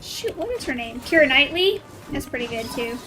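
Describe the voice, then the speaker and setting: A woman game streamer with a high voice chats casually as she plays. Her remarks are playful and slangy, and game music runs clearly behind her. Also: very animated, moderate pace. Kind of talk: casual live gameplay commentary.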